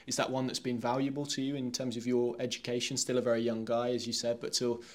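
A man's voice: medium pitch, completely flat delivery, fast talker. A man asking long, conversational questions as a sports interviewer. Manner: long, wordy questions with repeated words.

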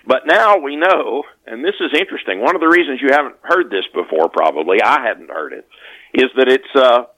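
A man's voice: medium pitch, fairly bright tone, rough recording. A man speaking as a guest author in an interview, explaining conversationally and often stopping to correct himself. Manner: halting, with repeated self-corrections.

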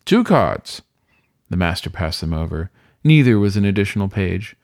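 A man reading a story aloud as scripted audiobook narration, in an expressive storytelling manner. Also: deep voice, fairly quick, no echo, clean studio sound. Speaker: story reader.

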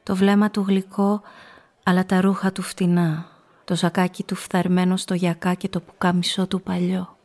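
An actress playing a woman who narrates her own story in measured, atmospheric literary prose for a radio drama. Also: fairly low pitch, little pitch movement, darkish tone, close to an everyday voice. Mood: wistful. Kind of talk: scripted first-person literary narration.

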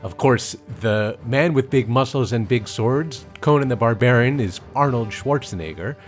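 A man's voice: medium pitch, normal speed. A man co-hosting a film-music podcast, reading credits and joking in a dry, mock-formal way. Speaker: podcast co-host. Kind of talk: casual podcast chat and credits rundown.

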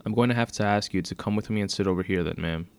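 Guy reading as a by-the-book cop in an audiobook, formal n polite, lowish voice. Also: fast, vocal fry. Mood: firm, formal. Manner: formal, procedural. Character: polite by-the-book young male transit police officer.

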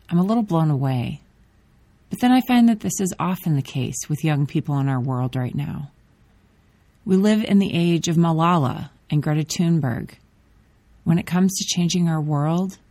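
A woman hosting a podcast, giving a scripted introduction in a measured delivery. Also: deep voice, mellow, dry recording. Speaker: podcast host.

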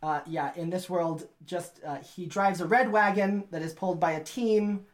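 A woman narrating a tabletop game as game master, animated and often laughing. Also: lowish voice, normal speed.

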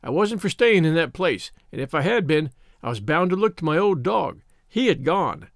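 Rustic storybook shepherd, male reader acting, earnest warnings in country phrasing, animated delivery, dry sound. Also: on the high side, moderate pace, vocal fry, no room sound. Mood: earnest, troubled. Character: plain-spoken rustic shepherd man, earnest and cautioning.

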